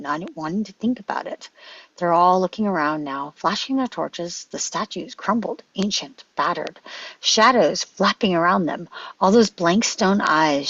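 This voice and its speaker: A woman's voice: on the low side, fairly bright tone, slightly rough. A woman reading stage directions as dramatic scripted narration at a table read. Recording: dull, dry lo-fi microphone.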